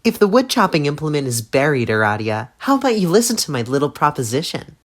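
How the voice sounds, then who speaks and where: A man's voice: a little high, very animated, fast talker, noisy background. A man voicing a scheming, smug troll girl who taunts in a sly way, for a fan audio drama. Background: music.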